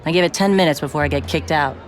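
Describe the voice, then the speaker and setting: An actress playing a brisk, wry young American reporter in a video game, breezy and sardonic. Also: female voice, deep voice, faint music behind, lightly acted. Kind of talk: naturalistic video game acting.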